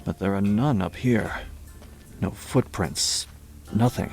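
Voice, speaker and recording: A man's voice: lowish voice, slow pace, gravelly, darkish tone, soft background music. A man playing a terse, brooding police detective in a horror audio drama. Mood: brooding, puzzled. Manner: terse, clipped, brooding sentences. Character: puzzled, brisk male police detective in charge.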